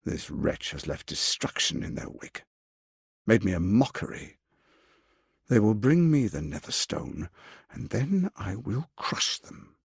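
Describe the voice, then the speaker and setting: An actor playing a scheming, arrogant middle-aged tyrant lord in a video game, with a pompous, silky, menacing delivery. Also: male voice, lowish voice, very animated, unhurried, gravelly, breathy edge, dry recording, clean studio sound, exaggerated voice. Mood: imperious, contemptuous. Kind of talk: theatrical video game voice acting.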